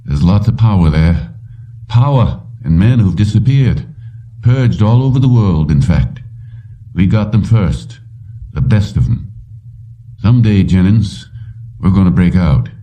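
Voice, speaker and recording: A man's voice: low-pitched, lively intonation, unhurried, pauses often, mellow. A man reading an audiobook, voicing an earnest, resolute older father who owns a company. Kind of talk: audiobook character dialogue. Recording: slightly distant, dull lo-fi recording.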